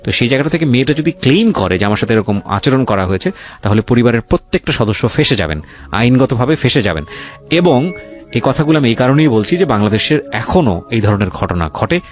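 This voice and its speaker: A man's voice: mid-range voice, big pitch swings, fairly quick, faint music behind. A male radio host interviewing a guest and directly advising listeners.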